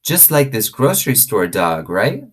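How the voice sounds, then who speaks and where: Man putting on an eager, high-voiced little girl. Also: very animated, a little slow, breathy edge, heavily acted. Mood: eager, awed. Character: eager, wide-eyed little Southern country girl.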